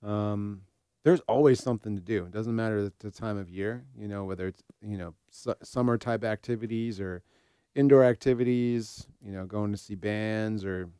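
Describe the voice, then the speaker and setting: A man's voice: lowish voice, normal speed, dark tone, smooth, no echo, studio quality. An American man hosting an informal podcast discussion in an easygoing, conversational way. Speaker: podcast host and practicing therapist.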